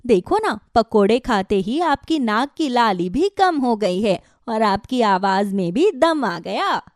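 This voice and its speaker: A woman's voice: fairly high pitch, very animated, slow, dry recording, studio quality. A woman playing a proud, chatty woodcutter's wife in a children's cartoon. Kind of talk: Hindi children's cartoon voice acting.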